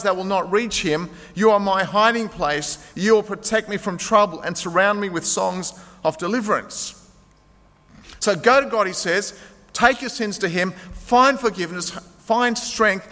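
A man's voice: sits high, moderate pace, bright, forceful tone. A male pastor preaching a sermon in a church, emphatic and urging his listeners to act now. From Greek heritage. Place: church sanctuary. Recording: long reverb of a large hall.

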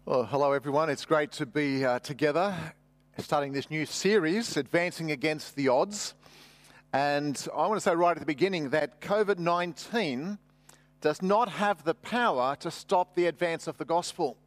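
A man's voice: fairly high pitch, moderate pace, no room sound. A middle-aged man preaching as a pastor, conversational and emphatic on key phrases. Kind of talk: sermon with personal anecdotes.

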